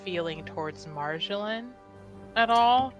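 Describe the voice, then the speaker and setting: A woman's voice: mid-range voice, expressive, a little slow, highs cut off, music in the background. A woman chatting casually and informally as a player in a tabletop roleplaying game, asking questions in loose, slangy phrasing.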